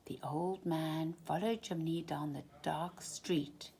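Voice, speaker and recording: A woman storyteller reading a children's storybook expressively, with deliberate, dramatic emphasis. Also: low voice, steady tone, vocal fry, warm tone, breathy edge.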